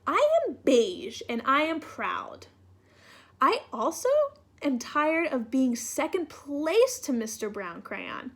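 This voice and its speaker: Woman as a proud, slow-talking, resentful crayon. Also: high voice, big pitch swings, a bit bright, exaggerated voice. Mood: proud, aggrieved. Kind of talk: children's picture book read-aloud.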